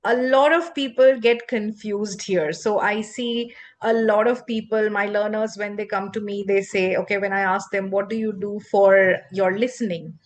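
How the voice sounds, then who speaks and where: A woman whose first language is not English, hosting a podcast and explaining English fluency in an expressive, emphatic teacherly way. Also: mid-range voice, normal speed, hardly any pauses. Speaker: podcast host and English coach. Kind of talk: instructive podcast conversation.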